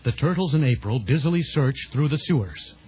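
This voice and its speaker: A man's voice: medium pitch, lively intonation, a little fast. A man narrating a children's adventure audio story in a dramatic scripted style. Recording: dull lo-fi 1980s tape, music behind.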